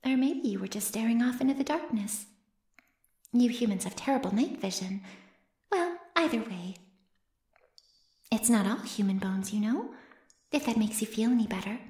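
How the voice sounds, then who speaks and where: A woman's voice: a little high, expressive, normal speed, pauses often, a little mellow, natural voice. A woman playing an ancient, smugly teasing female vampire in an intimate ASMR roleplay, murmuring coyly and often slipping into a whisper. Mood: smugly menacing. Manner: coy, breathy murmurs that often drop to a whisper. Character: ancient predatory female vampire, playfully menacing, smugly teasing her captive. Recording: roomy cave-like echo.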